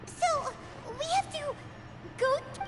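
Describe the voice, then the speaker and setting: Tiny earnest fairy sidekick, extremely high squeaky voice, music loud behind. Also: female voice, takes it slowly. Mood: worried. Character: small, childlike fairy companion in a fantasy game. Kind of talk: video game dialogue acting.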